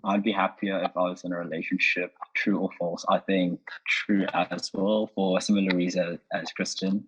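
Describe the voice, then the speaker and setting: An eighteen-year-old man, a panel guest answering in a measured, thoughtful way. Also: low voice, level tone, fairly bright tone, breathy edge. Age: teens. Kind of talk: casual group discussion. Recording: dull muffled audio.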